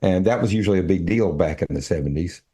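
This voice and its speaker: An older man co-hosting a casual talk show, speaking haltingly with many fillers and restarts. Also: low-pitched, dark tone, slightly rough. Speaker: podcast co-host. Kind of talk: casual chat.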